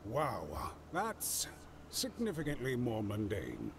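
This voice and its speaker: A man voicing a pompous, indignant old android scientist in an animated parody, creaky and wordy. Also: medium pitch, slow pace, exaggerated voice. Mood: dry, pedantic. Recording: noisy, reaction talk over parody audio.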